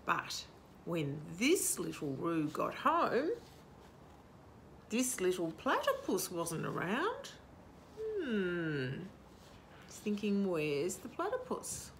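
Slow, animated older woman storyteller. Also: on the low side, creaky, slight background noise. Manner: animated, sing-song, asking the listener questions. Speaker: children's storytime host. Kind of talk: reading a picture book aloud to young children. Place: outdoor garden patio. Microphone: camera a short distance away.

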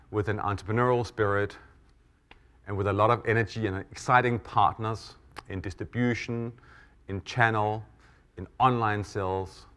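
Danish-accented man hosting a conference. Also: on the low side. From Denmark. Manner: relaxed, self-deprecating, with frequent "uh" and "um". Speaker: conference host and keynote speaker. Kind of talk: conference stage talk. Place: conference hall.

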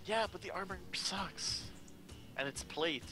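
A man's voice: sits high, a little slow, over music. A man in a casual voice chat with friends about a game.

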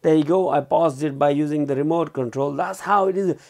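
A man's voice: fairly high pitch, steady tone, fairly quick, vocal fry, over loud music. A man giving a casual, unscripted product demonstration as a reviewer, repeating and rephrasing as he explains. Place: small indoor room at a desk.

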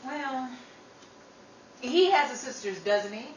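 A woman's voice: high-pitched, expressive, takes it slowly. A woman playing a hot-tempered, protective older sister in a 1930s radio drama, brash, scrappy and slangy. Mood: indignant. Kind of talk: old-time radio drama acting. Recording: roomy, distant mic, faint noise.

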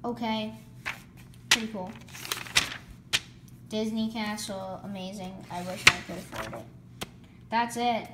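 A woman who is losing her voice, casually rambling as she flips through a toy catalog for her vlog. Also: medium pitch. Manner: rambling, lists items quickly with filler words. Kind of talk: casual off-the-cuff vlog commentary. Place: indoors, room. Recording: echoey room with clear background noise.

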